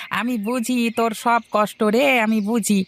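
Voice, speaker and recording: Woman acting a high-voiced, gossipy village lad. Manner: insinuating, chatty. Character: gossipy, sly young village lad, meddling friend. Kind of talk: Bengali fairy-tale cartoon dialogue.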